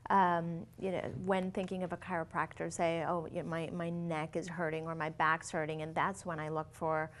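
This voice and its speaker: A woman's voice: low voice, level tone, normal speed, slight background noise. A woman from Latin America hosting an interview show, asking curious, friendly questions. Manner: friendly, curious, rephrases questions with "you know". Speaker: host.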